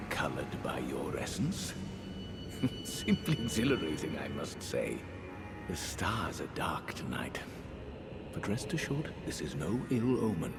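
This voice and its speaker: Deep, slow, courtly old count. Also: male voice, roomy sound, exaggerated voice. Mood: delighted, then serene, portentous. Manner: theatrical, genteel, savouring words. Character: courtly, serene, unsettling scholarly old count obsessed with stars. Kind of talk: scripted video game drama, ornate formal speech.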